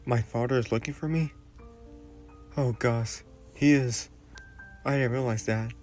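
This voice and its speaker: A man playing a polite, gentle young prince in a romantic fantasy audio roleplay, speaking formally and a little hesitantly. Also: medium pitch, fairly even intonation, unhurried, faint music behind, lightly acted. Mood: polite, curious. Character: young prince, courteous, gentle, shyly curious royal.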